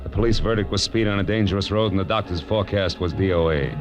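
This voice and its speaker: Hard-boiled male private eye played by an actor: very low voice, deadpan and clipped, vintage radio recording over music. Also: fast talker, close to an everyday voice. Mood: wry, tense narration. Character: hard-boiled, wry male private detective narrating his case. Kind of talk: 1940s radio crime drama acting.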